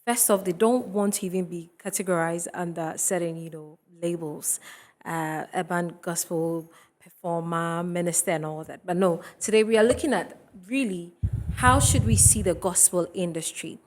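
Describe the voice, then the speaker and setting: A woman hosting a TV studio discussion, chatty and quick to laugh between remarks. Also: on the low side. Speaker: TV talk show host.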